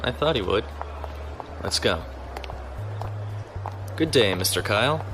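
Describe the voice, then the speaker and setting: Low-voiced lieutenant on the run. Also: male voice, animated, takes it slowly, over music. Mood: calm, steely. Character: resourceful male military lieutenant on the run. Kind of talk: science fiction audio drama acting.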